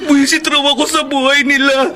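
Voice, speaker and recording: Radio drama casting: heartbroken Filipino boy, very high pitch, pleading, tearful delivery, music underneath. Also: flat delivery. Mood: anguished, tearful. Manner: tearful, drawn-out, melodramatic delivery. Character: poor rejected boy, sorrowful, pleading, devoted.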